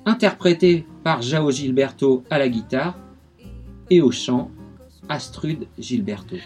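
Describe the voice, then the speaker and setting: A man's voice: medium pitch, expressive, measured pace, a little mellow. A man giving a radio music history segment, telling the story with enthusiasm.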